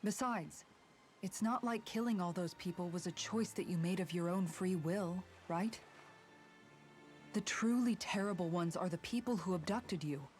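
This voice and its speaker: An actress playing a frank, fiercely supportive young fantasy fighter in a video game, earnest and firm. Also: female voice, lowish voice, moderate pace, a little mellow, soft background music, close to an everyday voice. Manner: earnest, firm, plain-spoken. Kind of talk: dramatic video game voice acting.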